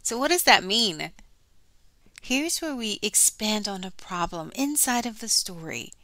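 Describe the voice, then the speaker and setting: A woman's voice: mid-range voice, a little slow, dry recording. A woman hosting storytime, narrating expressively for children and speaking to them directly. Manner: expressive, addresses young listeners directly.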